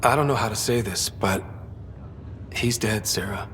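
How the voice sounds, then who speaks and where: A man's voice: fairly low pitch, measured pace, fairly bright tone. A man voicing a young, wry and earnest space explorer in a video game, with a dry, casual manner. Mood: gentle, grave. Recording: reverberant, faint background noise.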